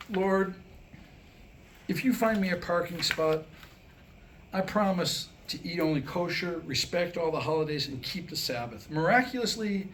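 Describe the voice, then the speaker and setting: Bright-voiced man telling jokes, noisy recording. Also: a little high. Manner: storytelling with acted-out dialogue. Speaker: joke teller at a staged script reading. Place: live staged reading in a room. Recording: noisy live room recording.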